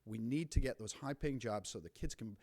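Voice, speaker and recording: A city official, a man, answering a council member in a chatty, lively way with frequent restarts. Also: medium pitch, fairly quick. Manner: conversational, animated, frequent restarts. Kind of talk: formal meeting remarks. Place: council chamber.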